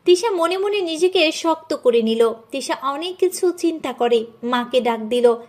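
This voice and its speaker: A woman narrator with a high voice. She delivers scripted storytelling, carrying the tale between the acted dialogue. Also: animated, measured pace, a little mellow.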